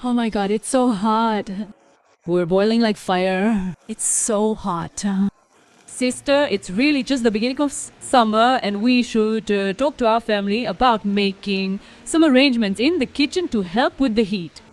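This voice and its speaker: A woman's voice: fairly high pitch, moderate pace, lightly acted. A woman playing a weary, aggrieved young daughter-in-law in a cartoon family story.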